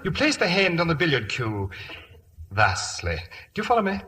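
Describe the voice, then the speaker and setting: High-voiced, flustered English lord. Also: male voice, wildly swooping pitch, pushed and bright, exaggerated voice. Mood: bashful, tender. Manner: halting, stammering, polite. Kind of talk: old-time radio comedy acting. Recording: old radio recording, faint noise.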